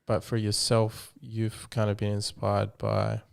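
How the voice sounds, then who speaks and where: A man interviewing a guest in casual chat, reflective and halting, often restarting his sentences. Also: on the low side, monotone, gravelly, smooth.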